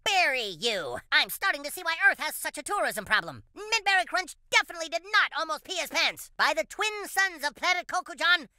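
A high, bright child's voice for a cartoon game. He is an earnest boy superhero from another planet, proclaiming everything with theatrical pomp and big swings in pitch. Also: big pitch swings, fairly quick, hardly any pauses, dry recording, studio quality, exaggerated voice. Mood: grandiose. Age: child character. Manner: grandiose, theatrical superhero declarations. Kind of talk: cartoon video game voice lines.

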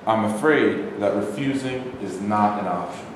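A man's voice: on the low side, measured pace, natural voice. Man playing a formal officer, very echoey room. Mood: firm. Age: young adult. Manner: formal, restrained, persuasive. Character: measured, coaxing male Nazi officer interrogator. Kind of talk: staged play reading. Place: indoor rehearsal room, camera mic. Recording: very echoey room, faint background noise.

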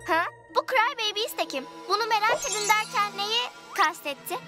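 An extremely high, squeaky baby girl from a children's cartoon. She is excitable and wide-eyed, with exclamatory delivery. Loud music plays under the dubbed voice. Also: normal speed. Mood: amazed, puzzled.

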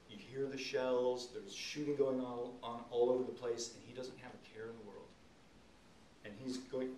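A middle-aged man speaking as an author at a public talk, explaining and telling stories in an earnest, emphatic way with halting pauses. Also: medium pitch, a little mellow. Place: indoor event room, seated on stage. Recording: roomy, distant camcorder sound, faint noise.

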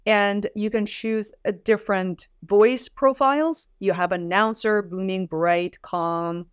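A woman's voice: mid-range voice, takes it slowly, clear, resonant tone, dry recording. Tutorial host, woman in her thirties, native Mandarin speaker, upbeat and chatty, dry close mic. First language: Mandarin Chinese. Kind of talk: software tutorial. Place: home studio room.

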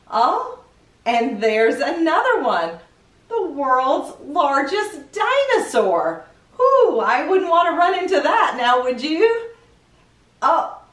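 Slow, lilting middle-aged woman, roomy sound. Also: high-pitched, fairly bright tone. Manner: playful, asking the viewers questions. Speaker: children's story show host. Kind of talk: chatty presenting to young children. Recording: roomy echo, distant mic.

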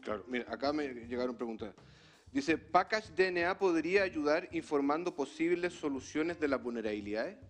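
A man hosting a conference Q&A in a relaxed, friendly way. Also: a little high, normal speed, faint music behind. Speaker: event host. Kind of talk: casual moderation.

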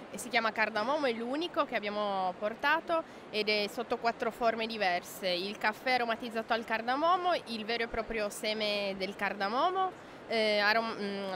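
A young woman interpreting an interview at a trade fair, pausing with fillers as she searches for words, speaking into a handheld mic. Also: a little high. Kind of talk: interpreting Spanish to Italian. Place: busy indoor trade fair hall. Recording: clear background noise.

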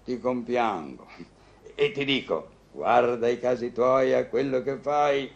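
An actor playing a teasing, insistent doctor and confidant in a vintage Italian radio comedy, theatrical and emphatic. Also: male voice, medium pitch, takes it slowly, creaky, muffled recording. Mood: sympathetic, emphatic.

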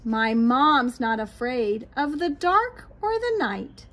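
A middle-aged American woman reading a picture book aloud to young children in an expressive, sing-song way, outdoors on a patio. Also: high voice, slow. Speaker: children's read-aloud host, teacher. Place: small backyard patio, outdoors. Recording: light outdoor background noise.

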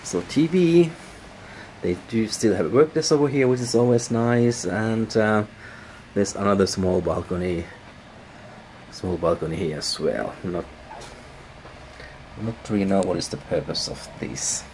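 A man giving a casual, unscripted hotel room walk-through as a travel blogger, halting and repeating words, recorded on a handheld camera. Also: fairly low pitch, a little mellow, a little hiss or hum, rough recording. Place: indoors, moving around a hotel room. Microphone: handheld camera, operator behind it.

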